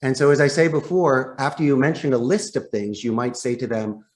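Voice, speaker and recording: A man's voice: mid-range voice, brisk. A man speaking as a podcast guest and sales trainer, explaining phone technique emphatically and putting rhetorical questions straight to the listener. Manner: emphatic, demonstrative, acts out example phone lines.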